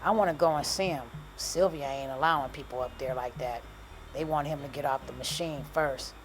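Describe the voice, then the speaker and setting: A woman's voice: sits low, a little fast, slight background noise, lightly acted. A woman voicing a cocky, blunt young male rapper with dismissive street slang, in audiobook dialogue. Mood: casual, cool.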